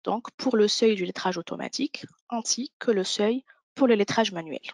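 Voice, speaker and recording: A woman's voice: medium pitch, moderate pace, darkish tone, no echo. A woman presenting an instructional webinar walkthrough, explaining each thing step by step. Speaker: software demo presenter.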